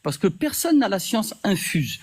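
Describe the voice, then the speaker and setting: A man hosting a heated live debate, speaking in a measured way but pushing back firmly when interrupted. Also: high voice, very animated, darkish tone. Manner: measured, insists on respect, pushes back firmly.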